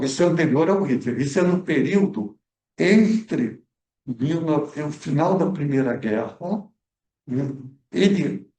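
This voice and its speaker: A man's voice: a little high, warm tone. A man, a guest researcher explaining history at a film club debate, hesitant with many fillers and restarts. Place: event room at a cultural center. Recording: echoey room, speaker far from mic.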